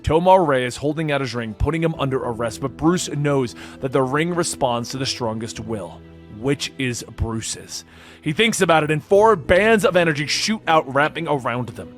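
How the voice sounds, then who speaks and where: A man's voice: mid-range voice, expressive, moderate pace, soft background music. A man narrating a comic-book story as a dramatic scripted read.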